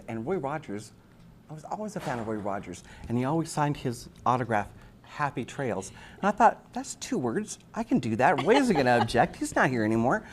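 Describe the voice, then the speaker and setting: Chatty man, mid-pitched, amid background noise. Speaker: interview guest, actor and writer. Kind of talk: chatty anecdotal storytelling. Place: busy convention event room. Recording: clearly noisy live event room recording.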